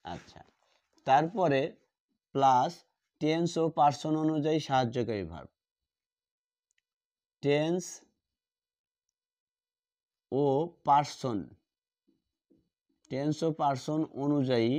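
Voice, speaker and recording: A man teaching a grammar lesson, repeating key words slowly as he writes them out. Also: on the high side, darkish tone. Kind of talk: classroom lesson at a board. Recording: dull lo-fi sound.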